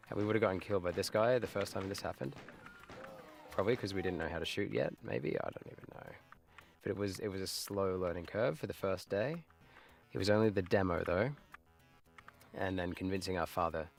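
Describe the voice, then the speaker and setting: A man in his late thirties with a very low, gravelly voice, giving casual commentary as he plays a game live. He drifts into nostalgic, rambling memories and drops his voice to mutter asides to himself. Soft game music sits faintly behind him. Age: 30s. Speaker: livestreamer playing games.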